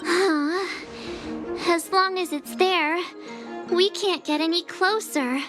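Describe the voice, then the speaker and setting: A woman's voice: extremely high pitch, fairly even intonation, slow. A woman voicing a curious, plain-spoken young girl adventurer in a video game. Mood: puzzled. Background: music.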